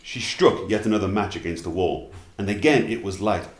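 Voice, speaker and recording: A man narrating fairy tales as an expressive storyteller, at times dropping into casual asides. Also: deep voice, brisk, reverberant.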